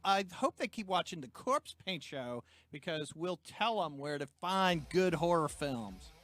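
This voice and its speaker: A man's voice: on the high side, animated, unhurried, fairly bright tone. Eager talk-show man who keeps saying look. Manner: enthusiastic, rambling with restarts, says "look" often. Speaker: co-host. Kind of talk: casual interview chat.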